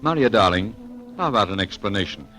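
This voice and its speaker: An actor playing a suave, wisecracking American adventurer in an old-time radio drama, with an American accent and breezy, wry banter. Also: male voice, lowish voice, expressive, quick pace, pushed and bright, faint music behind. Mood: amused.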